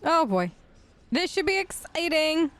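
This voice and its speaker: A woman's voice: very high voice, sing-song, measured pace, fairly bright tone. A woman doing casual role-play commentary for a video game, wry and conversational, on a close microphone. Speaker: let's play host.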